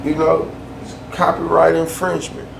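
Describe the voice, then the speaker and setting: A man's voice: fairly high pitch, completely flat delivery, moderate pace, vocal fry. A man ranting casually in a loose, slangy way, often repeating himself for emphasis. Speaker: YouTube storyteller. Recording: echoey room, faint background hum.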